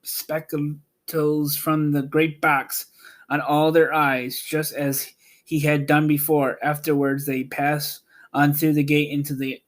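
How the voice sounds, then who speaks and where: A man reading a children's storybook aloud in a measured, plain narrating style. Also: a little high, level tone. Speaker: audiobook narrator and host.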